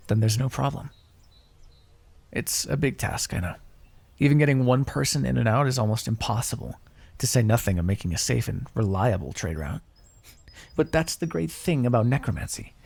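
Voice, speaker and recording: A man playing a kindly, encouraging necromancer in an ASMR fantasy roleplay, speaking in a gentle, reassuring, conversational way. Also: medium pitch, creaky, husky, dry recording. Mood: earnest, explanatory. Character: kindly, encouraging necromancer master, earnest and casual.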